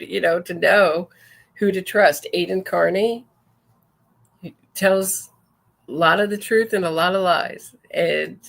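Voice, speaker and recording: A woman podcast host with a very gravelly, fry-laden voice at a middle pitch. She comments casually on true crime, reads messages aloud and keeps stopping to correct herself. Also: a bit bright. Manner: digressive, self-correcting as she goes.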